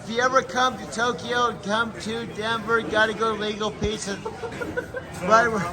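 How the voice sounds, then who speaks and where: A man in his sixties talks loudly and playfully, joking about himself with an easy grin in his voice. He sits in a busy restaurant, with a clear crowd chattering around him. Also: high voice, flat delivery, moderate pace. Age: 60s. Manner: loud, playful, self-deprecating.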